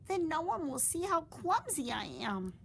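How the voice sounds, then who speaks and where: A woman voicing a shy, earnest young female fruit bat in a high childlike voice. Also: big pitch swings, measured pace, creaky, a bit bright, a little hiss or hum, heavily acted.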